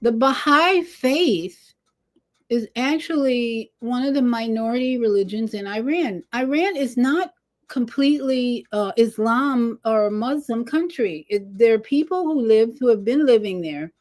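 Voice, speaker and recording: A woman's voice: fairly high pitch, expressive, unhurried. A woman hosting a talk show, speaking informally and earnestly to her viewers in an encouraging, conversational way. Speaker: show host. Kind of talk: informal monologue to viewers and interview.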